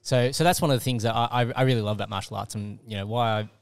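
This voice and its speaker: Low, encouraging male podcast host. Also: rapid-fire, gravelly, smooth, dry recording. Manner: encouraging, frequent "yeah" and "mm" backchannels. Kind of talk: conversational interviewing.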